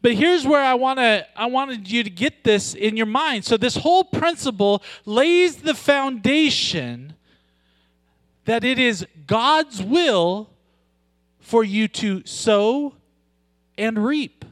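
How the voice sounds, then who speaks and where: A man preaching a sermon with a loud voice, moving from joking, conversational asides to slow, weighty emphasis on scripture. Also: very high-pitched, animated, dry recording. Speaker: pastor.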